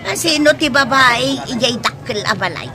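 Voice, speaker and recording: A woman's voice: high-pitched, expressive, normal speed, crowd noise. A woman acting a scheming, goading village mother in a Philippine radio drama. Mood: indignant. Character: scheming village mother, sharp and goading toward her son.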